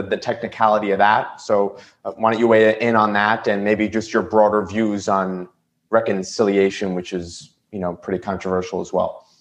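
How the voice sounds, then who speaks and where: A man co-hosting a conference call, politely moderating and relaying listener questions in a measured way. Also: lowish voice.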